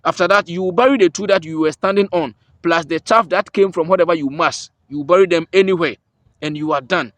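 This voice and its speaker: A man's voice: a little high, fairly quick, no room sound. A man with a Ghanaian accent, hosting an herbal remedy channel, explains a ritual step by step and repeats every point with emphasis. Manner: repetitive, emphatic, restates each step. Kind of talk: step-by-step spoken instructions.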